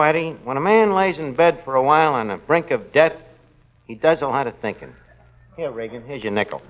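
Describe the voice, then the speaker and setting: An actor playing a boastful tavern manager in a 1940s radio comedy, delivering folksy, pompous wisecracks and mangled words. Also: male voice, a little high. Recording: muffled old radio broadcast with hiss.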